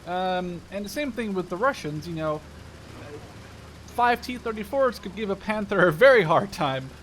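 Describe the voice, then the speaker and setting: A man in his twenties with a rather high-pitched voice commentates a game in a casual, informal way. He rambles and drifts into storytelling asides. Vehicle and game noise runs faintly behind his voice. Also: expressive, normal speed, fairly bright tone. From Netherlands. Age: 20s. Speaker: gaming video host.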